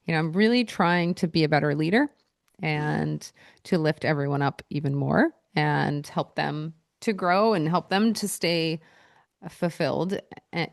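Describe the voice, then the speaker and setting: A woman's voice: low voice, gravelly, no room sound, clean studio sound. A woman co-hosting a podcast, chatting casually about her business, teasing and laughing easily. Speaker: podcast co-host, photography business owner.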